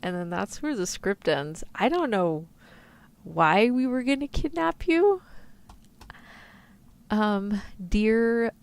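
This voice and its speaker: A woman's voice: medium pitch, lively intonation, takes it slowly, creaky, long pauses, clear, resonant tone. A woman streamer chatting casually in a playful, rambling way. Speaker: VTuber streamer. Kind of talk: casual livestream chat.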